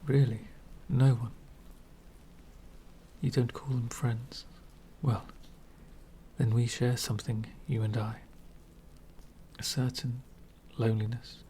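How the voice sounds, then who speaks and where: A man playing an elegant, centuries-old vampire gentleman, speaking softly and half whispering in a refined, wistful ASMR roleplay. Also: mid-range voice, flat delivery, slight background noise, close to an everyday voice. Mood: lonely, nostalgic. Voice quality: soft, breathy whispering. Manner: refined, wistful, slow and deliberate.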